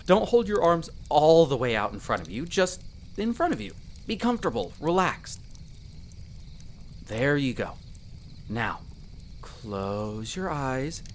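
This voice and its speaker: A performer voicing a young male elf explorer in a roleplay audio drama, patiently and gently coaching the listener as a magic teacher. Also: medium pitch, slight background noise. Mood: calm, guiding. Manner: gentle, reassuring, coaching step by step.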